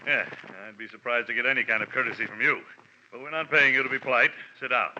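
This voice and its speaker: An actor playing a self-important, businesslike insurance executive in a 1940s radio drama. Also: male voice, mid-range voice, fairly even intonation, quick pace, sharp tone. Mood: gruff. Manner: stiff, businesslike. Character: gruff, self-important male insurance executive, ex-army desk officer. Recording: muffled, faintly noisy old radio broadcast.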